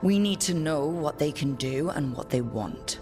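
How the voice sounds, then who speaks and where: A resolute fantasy party leader, with a low, firm voice. She speaks decisively, like a game heroine in charge, with loud music underneath. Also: animated, measured pace. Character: resolute woman adventurer leading a fantasy party. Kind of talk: video game cutscene acting.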